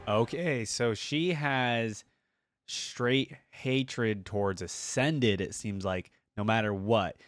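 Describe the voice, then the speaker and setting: A man's voice: medium pitch, takes it slowly, soft background music. A man giving casual, slangy reaction commentary as host of a video, chiming in with short appreciative remarks. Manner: informal, slangy, appreciative interjections.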